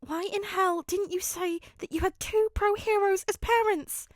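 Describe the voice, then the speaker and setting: A woman's voice: very high-pitched, steady tone, a little slow, dry recording, clean studio sound, pitched above the performer's own voice. A woman voicing a panicked teenage boy, exclaiming hysterically, in a fan fiction audiobook. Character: panicked teenage boy with a secret illegal life.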